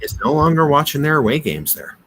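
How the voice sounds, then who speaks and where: A man with an American accent in a casual business discussion, speaking haltingly, often repeating words and restarting. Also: fairly high pitch, sing-song, a bit bright, husky. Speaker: business podcast co-host. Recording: noticeable background noise.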